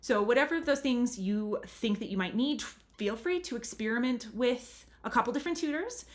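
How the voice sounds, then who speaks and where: A woman's voice: on the high side, lively intonation, moderate pace. Chatty earnest American woman tutor. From United States. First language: English. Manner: chatty and earnest, leans on "really" and "super". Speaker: language tutor. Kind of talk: casual advice talk to camera.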